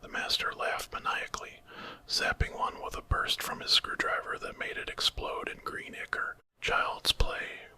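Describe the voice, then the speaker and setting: A man narrating a horror story in a breathy, unvoiced ASMR whisper. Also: normal speed, sharp tone.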